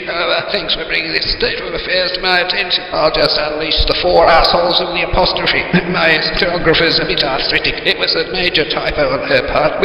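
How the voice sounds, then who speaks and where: A man's voice: a little high, creaky, pushed and bright, recorded from a distance, exaggerated voice. A man playing a petty, lazy, callous God in a comedy audio drama, offhand and smug as he excuses himself. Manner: offhand, smug, self-justifying. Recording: dull echoey reverberant audio, faint noise.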